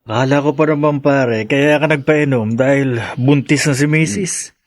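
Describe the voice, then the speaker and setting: An actor playing a brash, callous Filipino drinking buddy in a radio drama, scoffing, teasing and pushy. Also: male voice, mid-range voice, moderate pace, no room sound, studio quality, lightly acted. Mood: scornful.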